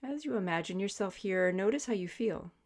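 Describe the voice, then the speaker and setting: A woman guiding a sleep meditation as a yoga teacher, speaking in gentle, soothing, measured invitations. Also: mid-range voice, lively intonation. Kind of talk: guided sleep meditation, spoken instruction.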